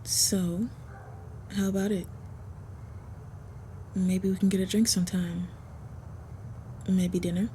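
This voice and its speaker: A woman playing a protective, smitten female vampire in an intimate roleplay audio, speaking softly, gently and a little hesitantly. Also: mid-range voice, level tone, takes it slowly, pauses often, slight background noise, lightly acted, low-quality recording. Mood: shy, flirtatious.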